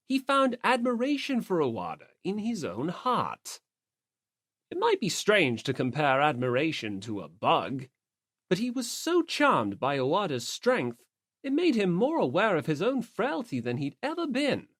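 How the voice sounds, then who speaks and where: A man reading a story aloud as an audiobook narrator, in expressive scripted narration. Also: high voice, dry recording, clean studio sound.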